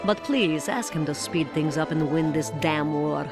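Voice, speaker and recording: A woman's voice: low-pitched, moderate pace. An actress playing a sardonic Soviet woman reading her letter aloud in a video game, dry and teasing. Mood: dry, affectionate. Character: sardonic Soviet woman writing to her beloved sparring partner. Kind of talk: letter read as game voice-over. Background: music.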